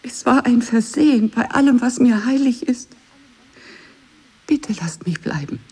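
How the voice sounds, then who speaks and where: Breathy, servile lady's maid. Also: a little high, expressive, measured pace, darkish tone, exaggerated voice. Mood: frightened, pleading. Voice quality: breathy, half-whispered. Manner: submissive, pleading. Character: servile, fearful lady's maid begging her queen. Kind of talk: radio play acting.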